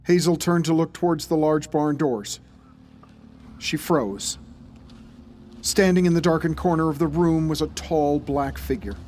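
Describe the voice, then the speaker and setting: A man's voice: on the high side, unhurried, pauses often, a little mellow. Male narrator of a scripted horror story. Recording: faint background ambience noise.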